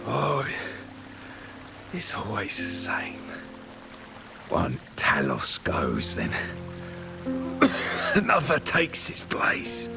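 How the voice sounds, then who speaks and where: A man playing a frail, weary but caring old man in a video game, halting and breathy, with a weak coughing delivery. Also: medium pitch, huge pitch swings, music in the background, full character voice. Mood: weary, resigned. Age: older.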